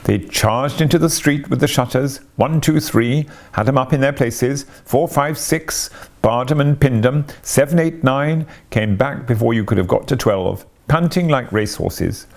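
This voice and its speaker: A man reading a classic story aloud as expressive narration, with theatrical, deliberate phrasing. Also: mid-range voice. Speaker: audiobook reader.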